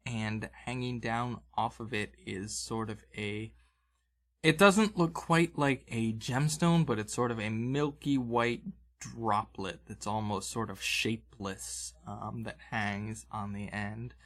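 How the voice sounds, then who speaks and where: A man's voice: lowish voice, slow pace, fairly bright tone. A man working as game master, narrating an improvised tabletop adventure in a conversational, descriptive way. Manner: conversational, descriptive, with vocal sound effects. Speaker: game master, podcast host.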